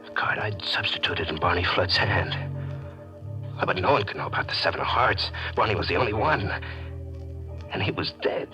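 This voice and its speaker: A man's voice: low voice, lively intonation, moderate pace, long pauses, music in the background. An American actor playing a haunted, possessive, guilty man, melodramatic and clipped, in a 1940s radio drama. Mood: shaken. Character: haunted, possessive, guilty man telling his own downfall. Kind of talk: 1940s radio acting, first-person narration.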